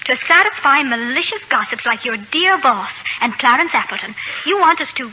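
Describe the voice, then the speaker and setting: An actress playing a spirited suburban housewife who stands her ground, crisp and emphatic, in 1940s radio comedy style. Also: high-pitched, lively intonation, moderate pace, pushed and bright, exaggerated voice. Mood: indignant. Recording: dull, hissy old-time radio recording.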